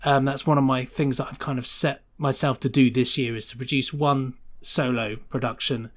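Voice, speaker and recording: A man's voice: mid-range voice, little pitch movement, normal speed. Chatty, enthusiastic man in casual conversation. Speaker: podcast guest, sound designer. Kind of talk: casual interview chat.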